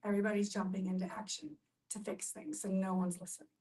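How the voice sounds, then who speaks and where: A woman talks in a reflective group discussion from a little way off the microphone. Her voice sits at a medium pitch, and she explains things earnestly, leaning on repetition to stress her points. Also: quick pace, mellow, far from the mic.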